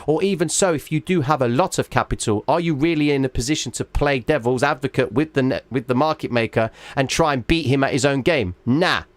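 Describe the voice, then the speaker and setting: A man's voice: medium pitch, brisk, few pauses, no echo. Male trading streamer, losing his voice. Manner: emphatic, checks in with "all right?" often. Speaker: trading livestream host. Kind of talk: live chart walkthrough to viewers.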